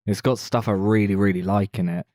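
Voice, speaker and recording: A man's voice: on the low side, fairly even intonation, brisk, darkish tone, no echo, studio quality. A man co-hosting a podcast, giving his views in a casual film discussion. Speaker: podcast co-host.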